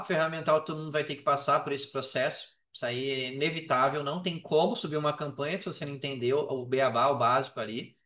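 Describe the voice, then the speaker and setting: High-voiced Brazilian man, roomy echo. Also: a bit bright. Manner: informal, slangy, emphatic with repeated phrases. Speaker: podcast guest. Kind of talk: casual interview talk, giving advice. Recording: roomy echo, distant from the mic.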